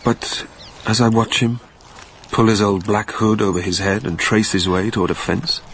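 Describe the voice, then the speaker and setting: A man's voice: on the low side, steady tone, normal speed, slight background noise, close to an everyday voice. An actor playing a reflective businessman who narrates his own tale in a scripted radio drama. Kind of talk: scripted first-person narration.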